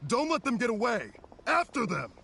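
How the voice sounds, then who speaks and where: An actor playing a stern soldier barking orders at a fugitive in a video game, with loud music behind. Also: male voice, very high voice, huge pitch swings, normal speed, vocal fry, slight background noise, full character voice. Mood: commanding. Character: stern, shouting male soldier hunting a fugitive.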